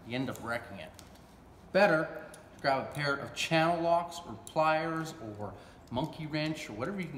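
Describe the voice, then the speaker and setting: A man's voice: mid-range voice, fairly bright tone. A man, giving a casual, folksy hands-on repair demonstration as a technician. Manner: folksy, colloquial, checking in with "okay?". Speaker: hot tub repair technician presenting a how-to. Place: large indoor warehouse with brick walls. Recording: echoey warehouse with clear background noise.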